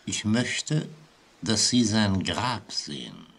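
An actor playing a composed, authoritative prison inspector in a vintage German radio play, formal, deliberate and patiently firm. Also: male voice, fairly low pitch, very animated, gravelly, noisy background, exaggerated voice. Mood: grave.

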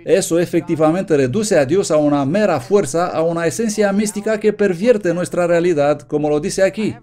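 An earnest male preacher delivers a sermon with steady conviction. His voice sits a little high yet stays soft and mellow. He reads quoted passages aloud with careful emphasis. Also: moderate pace. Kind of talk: sermon read from notes, Spanish voice-over.

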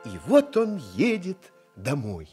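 High, animated male storyteller. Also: slow pace, exaggerated voice. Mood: dramatic storytelling. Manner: theatrical, addresses listeners and characters directly. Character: playful, teasing male storyteller of an adventure tale. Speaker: narrator. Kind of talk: Soviet radio play storytelling for children. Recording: 1970s studio radio play with music.

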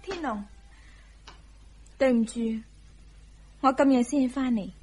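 An actress playing a gentle, dutiful, self-sacrificing young wife in a Hong Kong Cantonese radio drama, soft and careful in manner. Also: female voice, sits high, slow pace, slightly rough, faint music behind, exaggerated voice. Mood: meek, apologetic.

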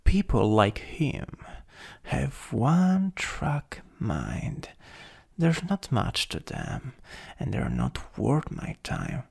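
A man with an Italian accent playing a jealous, flirtatious supervillain in an intimate ASMR roleplay, breathy and often whispering. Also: medium pitch, huge pitch swings, exaggerated voice. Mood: jealous, menacing. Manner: slow, teasing, lingering delivery. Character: jealous, possessive, teasing flirtatious male supervillain.

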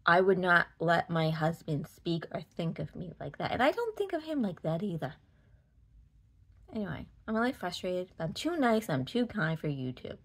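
A woman in her forties speaks in a fairly low voice with a Boston accent. She rants casually to camera, frustrated and emphatic, repeating phrases to drive them home. It sounds like a phone held at arm's length indoors. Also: very animated, moderate pace, breathy edge. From Massachusetts, United States. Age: 40s. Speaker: vlogger. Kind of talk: casual opinion rant to camera.

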